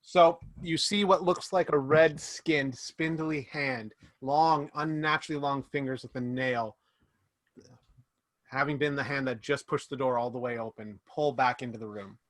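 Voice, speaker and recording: A man running a tabletop role-playing game over a video call, narrating scenes slowly and descriptively. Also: medium pitch, steady tone, fairly bright tone, no echo. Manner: slow, drawn-out descriptive narration. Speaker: game master. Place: home room indoors. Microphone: video call webcam mic.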